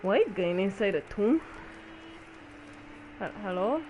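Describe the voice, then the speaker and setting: A woman's voice: mid-range voice, expressive, slow, a little mellow, lo-fi sound, a little hiss or hum. A woman streaming a video game, commenting casually in slang and exclaiming as she reacts aloud. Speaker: gaming streamer.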